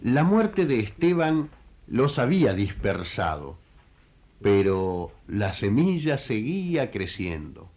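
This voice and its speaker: A man's voice: lowish voice, big pitch swings, slow, a little mellow. A man giving scripted radio play narration in a storytelling manner that addresses listeners directly.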